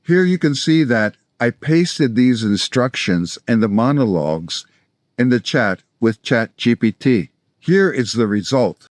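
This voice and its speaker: A man's voice: mid-range voice, lively intonation, measured pace, no echo. Older American man, dry close sound. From South Florida, United States. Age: 60s. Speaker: language-learning video host, polyglot. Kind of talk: scripted instructional narration to camera.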